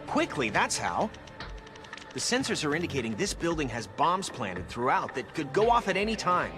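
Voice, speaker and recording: Nerdy brainy turtle. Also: male voice, fairly high pitch, big pitch swings, normal speed, over music. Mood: earnest. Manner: earnest, technical.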